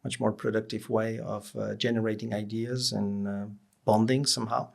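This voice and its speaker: A man in his late forties answers interview questions in a low register. His voice has a lot of fry in it. He talks reflectively, pausing to search for the right phrase. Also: flat delivery, a little mellow. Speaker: interview guest, business executive.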